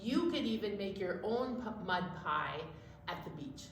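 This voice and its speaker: A woman's voice: medium pitch, big pitch swings, a little slow. A woman hosting children's storytime, chatting and asking simple questions for young children. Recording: distant mic, roomy echo, noticeable noise.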